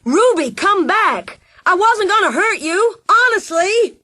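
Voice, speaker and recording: A woman's voice: medium pitch, animated, measured pace, a bit bright, dry recording. Woman doing a guilty, reluctant male henchman, apologetic, hesitant, dry recording. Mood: panicked shouting.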